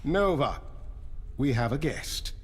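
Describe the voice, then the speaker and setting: An actor playing a courteous, measured scientific aide in a video game, polite and precise. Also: male voice, medium pitch, animated, husky. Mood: composed. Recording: music behind.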